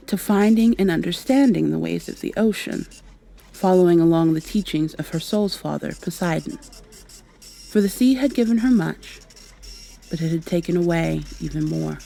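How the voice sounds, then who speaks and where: A woman playing a devoted, worried partner of a sick loved one, speaking tenderly and haltingly to the listener in an intimate ASMR roleplay. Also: fairly low pitch, lively intonation, gravelly, mellow, poor audio. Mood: soft storytelling. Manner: tender, soothing, halting and reassuring.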